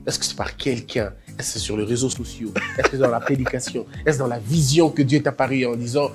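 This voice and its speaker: A male interview host who asks rapid-fire, teasing questions. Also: mid-range voice, fairly even intonation, music in the background. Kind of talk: probing, joking interview questions.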